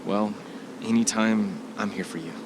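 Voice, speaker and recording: A man's voice: fairly low pitch, little pitch movement, normal speed, lightly acted. A man playing a worried but supportive husband in an amateur audio drama, hesitant and reassuring. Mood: subdued, tender. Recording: clearly noisy amateur recording.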